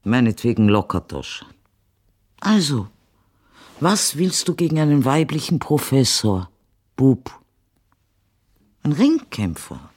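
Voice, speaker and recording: An actress playing an imperious, sharp-tongued old Viennese mother in a radio play, haughty and deliberate. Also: rumbling low, sing-song, husky, exaggerated voice. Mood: sardonic, disapproving. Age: older. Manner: haughty, deliberate, probing with curt questions. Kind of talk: radio drama acting.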